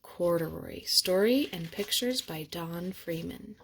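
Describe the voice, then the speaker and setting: A woman narrating a children's picture book aloud in an expressive storytelling manner. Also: lowish voice, slow, a little hiss or hum. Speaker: storybook reader.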